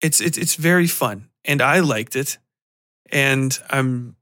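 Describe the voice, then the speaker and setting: A man hosting a podcast, giving a casual solo film review in a rambling, halting way with offhand swearing. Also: mid-range voice, bright, forceful tone. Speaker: podcast host.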